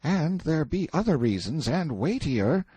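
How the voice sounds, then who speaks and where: A man's voice: a little high, wildly swooping pitch, slow pace, mellow, slightly rough, no echo, voice pushed up, full character voice. A man voicing a timid young medieval page boy who speaks in archaic, courtly exclamations in an audiobook story. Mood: anxious, hesitant. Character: timid, light-hearted medieval page boy, superstitious and easily frightened.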